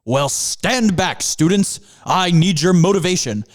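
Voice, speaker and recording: A man's voice: high-pitched, lively intonation, measured pace. A man doing an upbeat panther mascot. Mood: rallying. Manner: rallying, enthusiastic.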